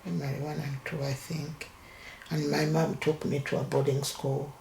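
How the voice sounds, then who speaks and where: An older woman from Uganda with a Ugandan accent, telling her life story in an interview and speaking in halting phrases with many restarts. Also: sits low, flat delivery, vocal fry, darkish tone, reverberant, slight background noise. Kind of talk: oral history interview.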